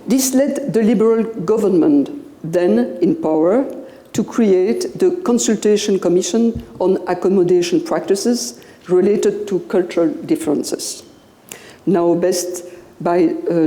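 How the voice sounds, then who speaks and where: An older woman with a Belgian French accent, a native French speaker, giving a public lecture in English at a podium microphone, measured and pausing often with "uh". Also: fairly low pitch, warm tone. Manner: slow, measured, with frequent "uh" pauses. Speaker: guest lecturer. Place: lecture room. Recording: echoey hall with long reverb.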